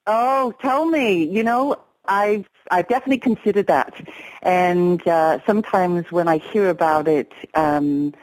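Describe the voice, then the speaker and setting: A middle-aged woman speaking as an interview guest, reflective and hesitant, often pausing to find her words. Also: fairly low pitch, low-quality recording. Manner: soft, hesitant, reflective, with pauses and fillers.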